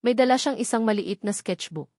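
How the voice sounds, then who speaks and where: A woman's voice: fairly high pitch, a little fast, darkish tone, dry recording, studio quality. A woman reading a scripted dramatic story as its narrator.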